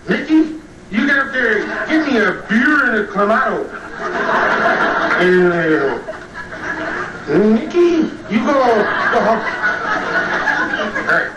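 Jaded old kids' TV storyteller, slurred, muddled, dragging pace, distant mic, very noisy tape. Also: male voice, high voice, very animated, roomy sound, exaggerated voice. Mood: boozy, indifferent. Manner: halting, muddled, trailing off. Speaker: children's TV storyteller. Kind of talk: rambling sketch-comedy storytelling. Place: TV studio living-room set.

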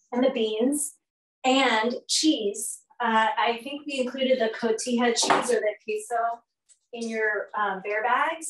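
Chatty middle-aged lady cooking live in her kitchen, bit high voice, echoey and far from the laptop mic. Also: slight background noise. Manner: chatty, upbeat, many fillers while cooking, moves around the stove. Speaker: nutrition educator hosting a live cooking class. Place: home kitchen, indoors. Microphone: laptop or fixed camera across the kitchen. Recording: roomy kitchen sound from a distance.

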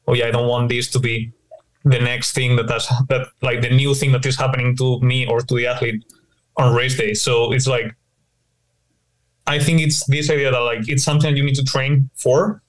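A man's voice: mid-range voice, flat delivery, normal speed, low-quality recording. A man co-hosting a podcast, speaking casually and rambling with many fillers as he works through an idea. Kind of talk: casual discussion.

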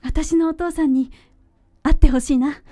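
A woman acting in a radio drama as a gentle, sincere young girlfriend. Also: sits high, steady tone, normal speed, lightly acted. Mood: moved. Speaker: voice actor.

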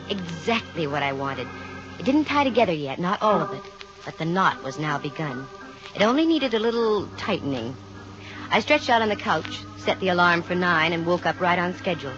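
A wry American woman private eye played by an actress speaks briskly in vintage radio drama style. She is self-assured and quick with a dry crack. The old recording is muffled, with some music. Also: on the low side, expressive, close to an everyday voice. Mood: wry narration. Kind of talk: 1950 radio detective drama acting, with first-person narration.

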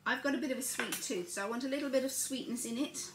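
A woman's voice: high-pitched, fast talker, background noise. Older woman, chatty cooking demo, home kitchen, camera across the counter, roomy sound. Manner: chatty, narrates each step while working. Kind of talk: casual cooking demonstration. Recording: echoey kitchen with clattering dishes.

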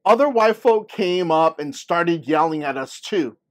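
A man's voice: fairly high pitch, animated, unhurried. A man reading a picture book as a young girl earnestly and expressively telling her story. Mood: earnest, suspenseful. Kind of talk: scripted picture-book read-aloud.

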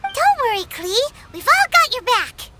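A woman's voice: very high voice, big pitch swings, measured pace, very noisy, full character voice. A woman voicing a tiny, perky, chatty fairy companion in a video game. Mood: cheerful, encouraging. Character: tiny chirpy floating fairy companion, childlike and bossy. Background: loud game music.

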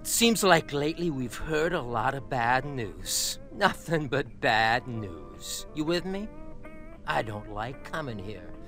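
Biker gang lieutenant for a game: slow, contemptuous, commanding, with faint music behind. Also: male voice, medium pitch, very animated, fairly bright tone, heavily acted. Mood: cold menace. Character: menacing, condescending male biker gang lieutenant. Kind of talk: video game cutscene acting.

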